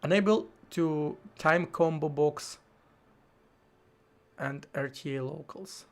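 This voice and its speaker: A man live-streaming while he codes, thinking aloud in a halting way, with many fillers and restarts. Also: fairly high pitch. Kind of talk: casual live-stream talk while coding.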